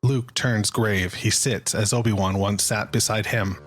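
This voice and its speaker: Low-pitched man narrating a dramatic script. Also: fairly even intonation, unhurried.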